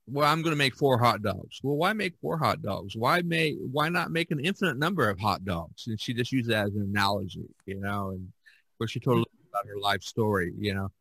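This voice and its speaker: A man's voice: lowish voice, expressive, brisk, gravelly, bright, forceful tone, breathy edge, no room sound. A man hosting a podcast in a casual, chatty style. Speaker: podcast host.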